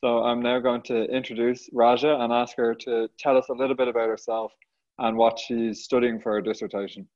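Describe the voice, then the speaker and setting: A man's voice: mid-range voice, flat delivery, brisk, a little mellow. Irish man hosting, dull lo-fi sound. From Ireland. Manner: self-deprecating. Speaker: podcast host. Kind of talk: conversational podcast interview.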